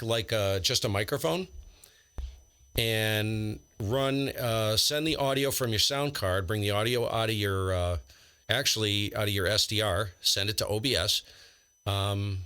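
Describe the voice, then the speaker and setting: Male ham operator, low pitch with a forceful bright edge, informal rambling explainer. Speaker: amateur radio operator and livestreamer. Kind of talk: casual ham radio chat.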